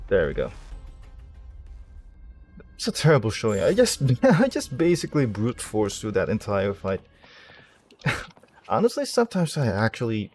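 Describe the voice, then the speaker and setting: A man's voice: on the low side, a little mellow. A man playing a video game and commentating casually, rambling and thinking aloud in a self-deprecating way. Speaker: streamer, game player.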